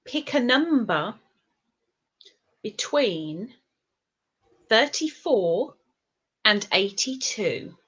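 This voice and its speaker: A woman's voice: a little high, big pitch swings, extremely slow, pauses often, fairly bright tone, husky, muffled recording. A woman hosting a casual live-stream interview with a crafter, playful and teasing.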